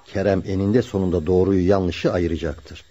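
An actor playing a kind, respectful young friend in a radio play, courteous and reassuring. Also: male voice, deep voice, fairly even intonation, moderate pace, mellow. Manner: courteous, hospitable, soothing. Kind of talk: Turkish radio theatre acting. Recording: dull lo-fi radio drama recording.

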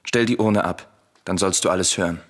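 Low-voiced, grave exiled Greek prince. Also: male voice, fairly quick. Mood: guarded, resolute. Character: secretive exiled prince of Greek tragedy, protective brother. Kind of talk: solemn radio drama acting of verse tragedy. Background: music.

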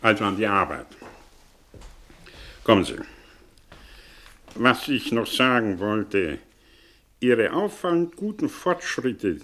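An actor playing a middle-aged, suspicious, ironic professor in a 1960 radio play, speaking in a theatrical, teasing and probing way. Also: male voice, lowish voice, a bit bright, exaggerated voice. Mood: brisk, probing.

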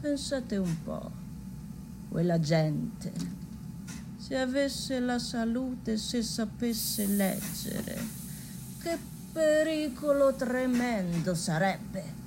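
A woman's voice: on the high side, expressive, very slow, full character voice. A woman playing a haughty, pompous establishment announcer, oratorical and mock-ceremonious in satirical radio theatre. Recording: clearly noisy ambience.

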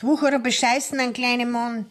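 An actress playing a talkative, aggrieved middle-aged sawmill widow in a radio play, speaking Bavarian dialect in a confiding, folksy way. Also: female voice, fairly high pitch, fairly even intonation, quick pace, a bit bright, natural voice. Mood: eager, confiding.

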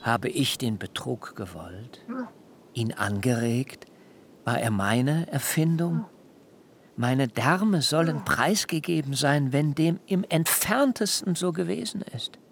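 A biblical fugitive in a radio drama, frightened and full of excuses. His creaky voice pleads slowly and theatrically, sinking at times into hushed near-whispers. There is clear ambient noise behind him. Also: medium pitch. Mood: anguished self-defence. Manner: theatrical, beseeching, rhetorical questions, hushed dips into whisper. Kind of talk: literary radio drama acting.

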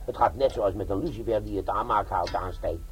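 An actor playing a brash, wry older bomb disposal sergeant in a comic crime radio play, sardonic and fond of lecturing. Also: male voice, lowish voice, a little fast, slight background noise, close to an everyday voice. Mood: jovial lecturing.

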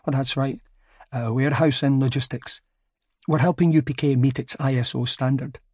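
A man's voice: medium pitch, fairly even intonation, moderate pace, darkish tone, husky, no echo, clean studio sound, natural voice. A man voicing a mild, dryly ironic Scottish man in his thirties, with a Scottish accent. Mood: polite. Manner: polite, understated, dryly ironic.

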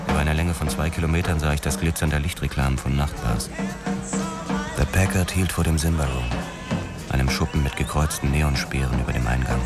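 An actor playing a brooding, haunted police officer who narrates a German noir radio drama in terse, measured phrases. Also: male voice, extremely low pitch, fairly even intonation. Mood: brooding, tense. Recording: music under the voice. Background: music.

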